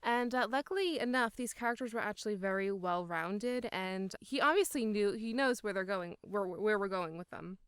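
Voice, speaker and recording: A woman's voice: mid-range voice, moderate pace, few pauses, clear, resonant tone, dry recording, clean studio sound. A woman speaking as an interviewed voice actor, in casual, enthusiastic and emphatic conversation.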